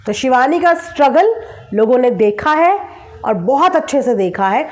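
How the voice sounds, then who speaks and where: Female vlogger, high voice. Opinionated commentary delivered straight to viewers, sarcastic and emphatic. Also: expressive, normal speed. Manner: sarcastic, with rhetorical questions and emphatic repetition. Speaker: vlogger commenting on online creator gossip.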